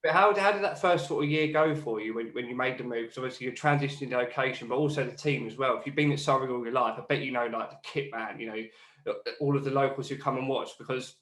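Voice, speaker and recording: A man's voice: mid-range voice, quick pace, fairly bright tone, roomy sound, low-quality recording. A Geordie man hosting a podcast, chatting in a casual, matey way and asking leading questions. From Newcastle, England. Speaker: podcast host. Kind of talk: casual interview.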